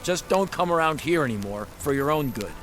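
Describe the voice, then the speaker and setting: A man's voice: on the high side, animated, brisk, fairly bright tone, slight background noise. An actor playing a shady, wisecracking used-car salesman in a radio drama, flustered by his own honesty. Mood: candid, exasperated. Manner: folksy, wisecracking, colloquial patter.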